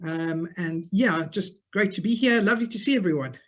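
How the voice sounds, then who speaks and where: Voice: mid-range voice, moderate pace. Club member from south africa, easygoing chat on a call, mid voice. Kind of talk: video call discussion. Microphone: video call.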